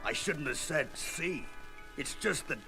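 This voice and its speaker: A man playing a gruff, brawny rock-skinned monster hero in a comic-book audio drama, with gravelly, slangy, blustering tough-guy talk. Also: fairly high pitch, expressive, measured pace, music in the background. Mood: sheepish.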